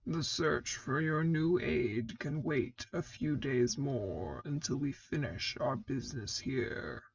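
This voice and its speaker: A woman voicing a stern, commanding male mentor in armor, with deep gravelly tones and formal, measured speech. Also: animated, dull audio, exaggerated voice. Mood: calm advising.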